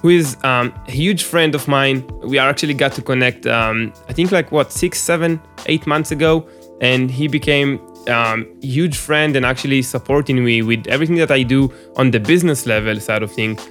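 A man's voice: medium pitch, normal speed, fairly bright tone, soft background music. A man hosting a podcast interview, talking casually with frequent fillers and restarts. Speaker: podcast host.

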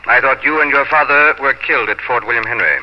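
An actor playing a middle-aged colonial uncle, stern, pompous and disapproving, in a 1950s radio drama. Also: male voice, mid-range voice, quick pace, close to an everyday voice. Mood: indignant. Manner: pompous, indignant. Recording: muffled, slightly noisy old radio recording.

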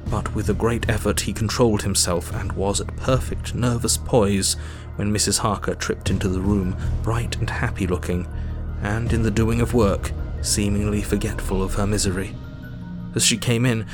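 A man voices a steady male doctor narrating his journal in an audio drama. His delivery is measured and sober. His voice sits low, with faint music behind it. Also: lightly acted.

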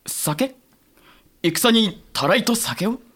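An actor playing an earnest, deferential young samurai aide, polite and excitable, in a period audio drama. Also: male voice, sits high, takes it slowly, exaggerated voice. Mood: puzzled.

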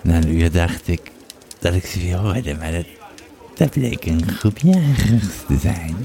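A man playing a weary, troubled Roman legionary who tells his tale haltingly in an audio drama. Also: low-pitched, animated, gravelly, heavily acted. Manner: halting, repeating words, hushed at times. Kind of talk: audio drama monologue and narration.

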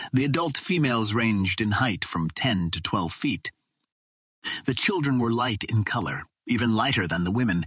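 A man reading an adventure novel aloud as an audiobook narrator, in a measured, formal storytelling manner. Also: lowish voice, a bit bright, slightly rough, dry recording.

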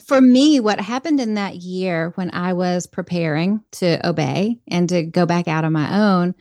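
A woman's voice: fairly low pitch, a little slow, darkish tone, no echo. Earnest, reflective woman podcast guest. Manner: earnest, reflective, faith-based. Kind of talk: conversational interview.

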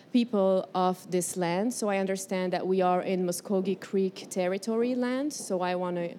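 A mellow, warm-toned woman raised in Morocco leads a workshop class in a gentle, unhurried way. She pauses and restarts as she searches for the right words, and a faint hiss sits under her voice. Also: fairly low pitch. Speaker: herbalism teacher. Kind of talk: guided breathing and spiritual workshop talk.

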